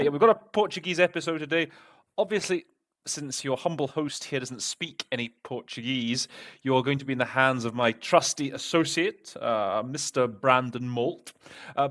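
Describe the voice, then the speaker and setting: A man hosting an online interpreting tutorial, chatty and self-deprecating, with many fillers and restarts. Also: mid-range voice. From Britain. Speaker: host. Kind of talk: casual tutorial introduction.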